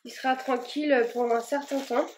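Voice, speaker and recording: A woman's voice: high-pitched, fairly even intonation, normal speed, background noise. A woman vlogging casually as she repots her plants, talking in a rambling way with plenty of fillers and restarts. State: a bit warm and tired from the work.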